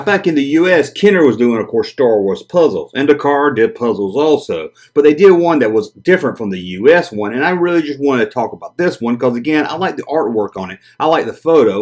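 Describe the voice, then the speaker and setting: A man hosting a collector video, talking casually and joking about odd vintage toys; his first language is Japanese. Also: medium pitch. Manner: animated, joking, chatty. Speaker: toy collector channel host.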